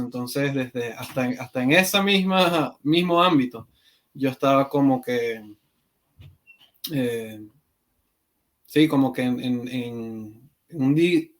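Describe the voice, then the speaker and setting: A man from Venezuela speaks as a podcast guest, thinking out loud and halting often on fillers and restarts. His voice sits at an ordinary middle pitch for a man. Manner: hesitant, many fillers and restarts. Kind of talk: conversational interview.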